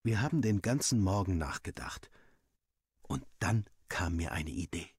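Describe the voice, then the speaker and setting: Male narrator for a first-person story, lowish pitch, lively intonation, acts out the dialogue. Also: unhurried, breathy edge, no room sound, studio quality. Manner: animated storytelling. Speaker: audiobook reader.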